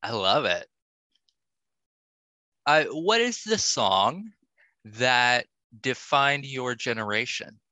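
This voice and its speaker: Male podcast host. Bright, forward voice, unhurried delivery, dry close sound, casual interview chat. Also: mid-range voice, lively intonation, dry recording.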